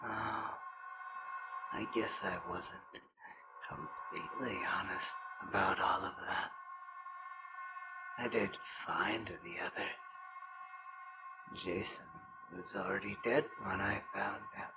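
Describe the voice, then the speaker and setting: A man playing a sinister friend turned starving cannibal creature in a horror audio drama, speaking haltingly in drawn-out half-whispers with menace. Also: deep voice, steady tone. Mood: confessing, halting. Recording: noticeable ambient background noise.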